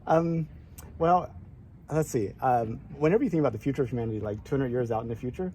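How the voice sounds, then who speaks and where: Mellow-voiced hesitant male interviewer. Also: mid-range voice, fast talker, slight background noise. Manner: hesitant, uses uh and um often. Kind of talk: casual interview.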